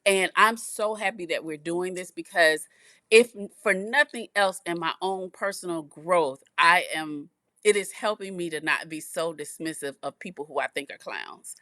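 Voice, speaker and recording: A woman's voice: on the low side, moderate pace, sharp tone, no echo, clean studio sound. A woman co-hosting a podcast, talking in a reflective, casual way and often repeating words as she thinks. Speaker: podcast co-host. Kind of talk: casual chat.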